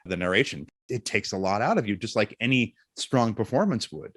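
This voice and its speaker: A man's voice: lowish voice, expressive, brisk, dry recording, studio quality. A man talking conversationally as an interview guest, explaining his voice work thoughtfully. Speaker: voice actor and narrator, interview guest.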